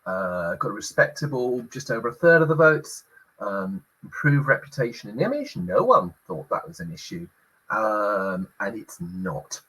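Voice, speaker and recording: A middle-aged man hosting a business talk show, speaking with a croaky voice as he recovers from illness, hesitant with many fillers. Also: fairly low pitch, big pitch swings, moderate pace, sharp tone, poor audio. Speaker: host.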